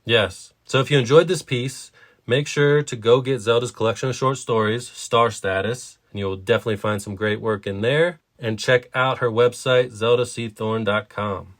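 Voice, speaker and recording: A man's voice: medium pitch, steady tone, moderate pace, fairly bright tone. A man co-hosting a podcast, discussing stories conversationally in an earnest, reflective way. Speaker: podcast co-host.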